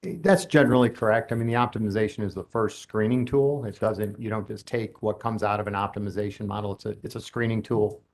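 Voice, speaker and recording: A man with a low, gravelly voice gives expert testimony at a formal hearing. He explains technical points at length in a steady, matter-of-fact way, walking through each point with care. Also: fairly quick, few pauses. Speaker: utility company expert witness.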